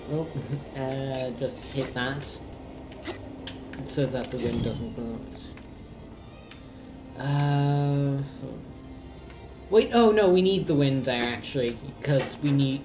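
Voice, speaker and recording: A young man chatting casually as he plays a co-op video game, thinking aloud hesitantly. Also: mid-range voice. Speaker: gamer playing co-op on a shared controller. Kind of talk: casual gameplay chat. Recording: roomy, clearly noisy home recording.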